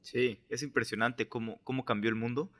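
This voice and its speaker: Male podcast host, medium pitch, conversational, halting and winding in his questions. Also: steady tone, a bit bright. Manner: halting, winding questions padded with filler words.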